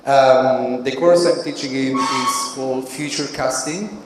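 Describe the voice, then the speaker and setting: Italian accent guy on a panel, slow and careful, big reverby hall. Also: medium pitch, heavy background noise. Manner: measured, deliberate, with pauses. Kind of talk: panel introduction. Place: large conference hall. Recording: very echoey hall with long reverb.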